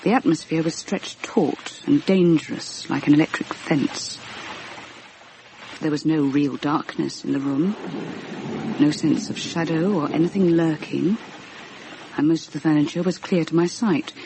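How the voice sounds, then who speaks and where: A woman's voice: sits low, unhurried, noisy background. A woman playing an earnest, troubled narrator of a ghost story, in an intense, literary radio drama style. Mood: uneasy recollection. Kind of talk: dramatic first-person narration and radio acting.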